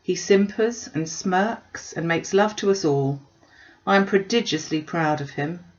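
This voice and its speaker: A woman voicing a wry, grumbling older gentleman father in a classic novel, sardonic and emphatic. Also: fairly low pitch, measured pace, dull audio, lightly acted. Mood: mock pride. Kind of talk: classic novel read-aloud.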